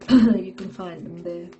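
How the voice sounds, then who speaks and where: A woman talks through a tarot spread in a slow, warm, hoarse voice. Her throat is sore, and she stops often, leaning on "okay" and "um" as she goes. Soft music plays faintly behind her. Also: fairly low pitch, highs cut off. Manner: halting, many fillers and "okay"s. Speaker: tarot reader. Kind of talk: tarot reading monologue.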